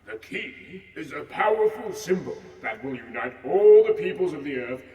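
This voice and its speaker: A man's voice: on the high side, very animated, normal speed, dark tone. A vain, forgetful old king played by a man rambles pompously in a comic audio play. His voice swings widely and sounds distant in a reverberant hall. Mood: grandiose. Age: older. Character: mad, vain, forgetful king with grandiose ambitions. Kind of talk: comic audio drama acting. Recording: echoey hall, far from the mic.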